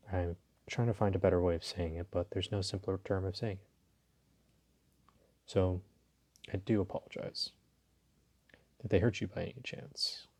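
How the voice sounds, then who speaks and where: A man playing a polite, flirtatious and dominant mafia boss in an intimate audio roleplay, talking to the listener in an unhurried, teasing way. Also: low voice, dark tone. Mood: apologetic.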